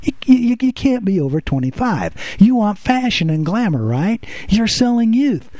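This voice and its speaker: A man hosting a radio show with a soft radio voice, animated and teasing as he talks straight to an absent listener. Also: high voice, normal speed, no echo. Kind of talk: playful radio patter.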